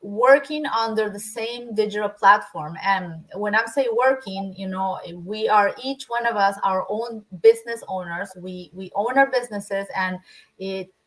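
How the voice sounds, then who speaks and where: A woman with a Spanish accent, a native Spanish speaker, talking as a guest in a casual interview, often restarting her sentences and filling pauses. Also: mid-range voice, poor audio. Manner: hesitant, many restarts and fillers.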